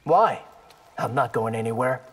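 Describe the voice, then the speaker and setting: Cocky young ninja in a reverberant hall. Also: male voice, mid-range voice, measured pace, husky. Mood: cocky, defiant. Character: cocky, determined young male ninja fighter. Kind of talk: video game fight banter. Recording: long hall reverb, loud noise behind.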